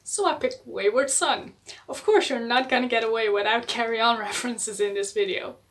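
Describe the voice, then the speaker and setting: Young adult woman, casual enthusiastic book vlogger, slightly higher voice. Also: moderate pace, a little hiss or hum. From Netherlands. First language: Dutch. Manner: chatty and enthusiastic, laughs often.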